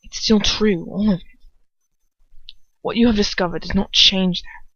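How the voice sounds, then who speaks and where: A woman puts on a stern, aristocratic wizard father from a fantasy story. He speaks coldly and calmly in a measured, controlled murmur. The recording is dry and a little muffled. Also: medium pitch, no room sound. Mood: quiet, controlled.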